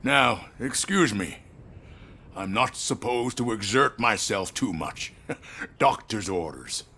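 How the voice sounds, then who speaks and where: An elderly, courteous corporate chief in a game drama. His voice is bright and creaky, and he speaks slowly with wide swings of pitch. He sounds formal, weary and quietly in control. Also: expressive, slight background noise, full character voice. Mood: gracious, weary. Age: older. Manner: measured, formal, patrician. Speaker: company head. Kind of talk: video game dialogue acting.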